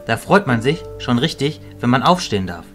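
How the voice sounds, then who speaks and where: A fatherly old wizard who leads his fellows, played by a man in a fantasy audio drama. He speaks in a medium-pitched voice, calm and considerate. Music runs behind the voice. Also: fairly quick. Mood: calm, friendly. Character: fatherly, kindly old male wizard leader.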